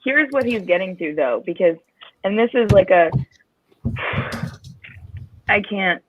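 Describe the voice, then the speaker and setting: A woman's voice: medium pitch, expressive, a little slow, slight background noise. A woman reading a passage aloud and commenting casually on an online livestream. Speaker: reader on a livestream. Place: video call. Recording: thin, phone-like, radio-like line.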